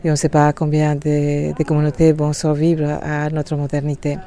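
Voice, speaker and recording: Guest: middle-aged woman, low flat voice, halting delivery. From Colombia. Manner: hesitant, many pauses and fillers. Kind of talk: interview conversation.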